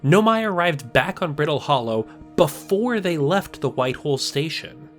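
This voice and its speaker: A man narrating a scripted video essay, explaining a story step by step in a measured way. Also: a little high, sing-song, faint music behind.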